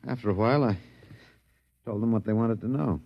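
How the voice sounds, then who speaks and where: A man playing a weary, gentle but stubborn middle-aged captive in a 1950s radio drama, hesitant and understated. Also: lowish voice, fairly quick, creaky, dry recording. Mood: exhausted. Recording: dull, dry vintage 1950s radio recording.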